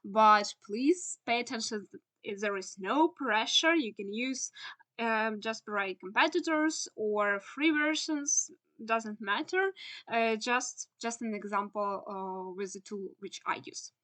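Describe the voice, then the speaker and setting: A young woman with a Ukrainian accent, a native Ukrainian speaker, explains a screen-share tutorial in English. Her voice sits fairly high and sounds bright and crisp. She pauses often on "uh" and phrases things in halting, non-native English. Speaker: SEO instructor.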